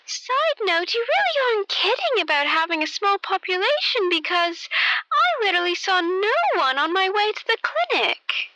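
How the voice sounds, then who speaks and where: Woman playing a bewildered young newcomer, very high voice, animated, hesitant, easily exasperated, radio drama. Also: normal speed, no echo, exaggerated voice, low-quality recording. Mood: flustered. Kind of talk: amateur radio play acting.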